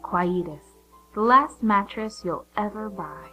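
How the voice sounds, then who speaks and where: Unhurried woman announcer over faint music. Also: medium pitch, bright, forceful tone, low-quality recording. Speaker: announcer in a spoof commercial. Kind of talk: scripted advertisement read.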